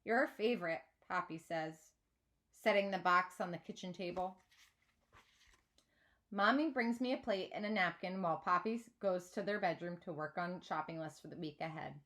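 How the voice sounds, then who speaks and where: A woman reading a children's novel aloud like a teacher, with expressive, dramatised narration. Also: lowish voice, normal speed.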